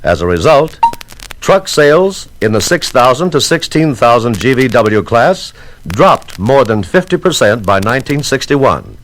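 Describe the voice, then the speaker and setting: A man's voice: mid-range voice, very animated, measured pace, fairly bright tone, slight background noise, poor audio. An American man reading scripted 1960s sales training narration in an emphatic, instructional manner.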